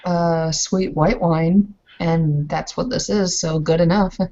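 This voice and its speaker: A woman's voice: lowish voice, fairly even intonation, slow pace, mellow, dull audio. A woman casually roleplaying as a player in a tabletop game session.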